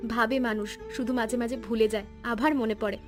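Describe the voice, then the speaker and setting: A woman voicing a modest, practical, kind village wife in a cartoon story, matter-of-fact and gently teasing. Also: on the high side, fairly even intonation, fairly quick, soft background music, close to an everyday voice. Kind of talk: Bengali cartoon story voice acting.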